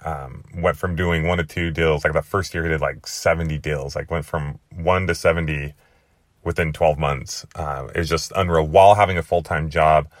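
A young man with a hoarse, worn-out voice hosting his podcast in a rambling, chatty way. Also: rumbling low, dry recording, clean studio sound. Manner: chatty, rambling with many fillers. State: hoarse, lost voice. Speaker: podcast host. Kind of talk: casual monologue.

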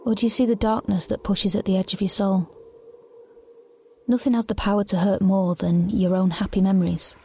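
A woman's voice: mid-range voice, fairly even intonation, a little fast, mellow, a little hiss or hum, natural voice. An actress playing a wry, reflective young woman who narrates her own story confidingly in a sci-fi audio drama. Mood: brooding. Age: young adult. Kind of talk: first-person scripted drama narration.

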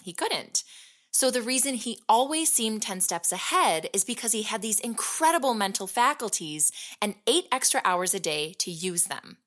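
An investigative podcast host, narrating an audio fiction like a documentary. Her pitch is medium and very lively, and her delivery is explanatory and conversational. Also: normal speed. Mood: revealing, measured. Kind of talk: scripted documentary-style narration.

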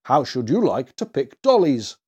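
A man voicing a merry old Santa Claus in a storybook, with a hearty, drawn-out, sing-song delivery. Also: mid-range voice, very animated, darkish tone, breathy edge. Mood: kindly, hearty. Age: older. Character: merry old Santa Claus, jolly, booming, singing as he works.

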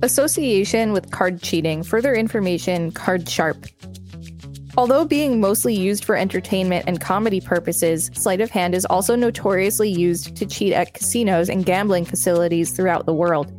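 A woman with a medium-pitched voice co-hosts a reading podcast. She alternates between scripted article text and informal chat, with light music in the background. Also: normal speed, a little mellow. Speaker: podcast co-host. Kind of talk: reading an encyclopedia article aloud with casual banter.

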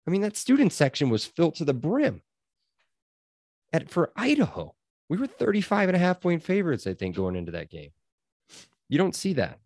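A man hosting a casual sports podcast, moving the talk along and reacting with quick laughs and the odd curse. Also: a little high, huge pitch swings, a little mellow, dry recording, clean studio sound. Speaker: podcast host.

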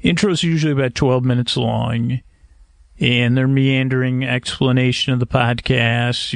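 Creaky-voiced man rambling a bedtime monologue. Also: mid-range voice, dry recording. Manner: halting, digressive, trailing self-interruptions. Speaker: podcast host. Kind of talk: rambling, meandering bedtime monologue to help listeners sleep.

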